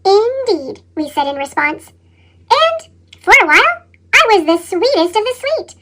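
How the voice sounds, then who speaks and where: Chipmunk storyteller: extremely high, bright, pitch-shifted voice, expressive and dramatic read-aloud for a children's picture book. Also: unhurried, heavily acted. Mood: sweet, reminiscing. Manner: expressive, dramatic reading with growls and exclamations. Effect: sped-up chipmunk pitch effect.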